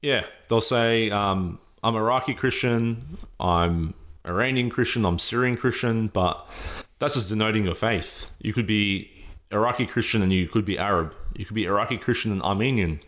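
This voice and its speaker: A man's voice: fairly low pitch, moderate pace, hardly any pauses, a bit bright. A man being interviewed, explaining his views patiently and often checking in with "right?". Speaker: interview guest. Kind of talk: earnest conversational explaining.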